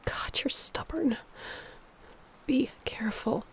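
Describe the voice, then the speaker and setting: A woman's voice: sits high, takes it slowly, in a whisper. A woman playing a sick, protective, selfless apocalypse survivor in an audio roleplay, weary, earnest and pleading. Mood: anxious, tender.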